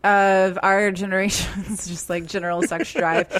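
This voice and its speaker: A woman chatting about movies on a podcast. She is giggly and enthusiastic, and her voice sits at an average pitch. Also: little pitch movement, unhurried. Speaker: podcast co-host. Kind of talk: casual movie review chat.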